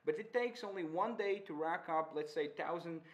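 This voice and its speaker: A man's voice: a little high, normal speed. Jokey male esports co-caster. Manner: jokey, chatty, repeats words as he talks. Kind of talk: live esports commentary.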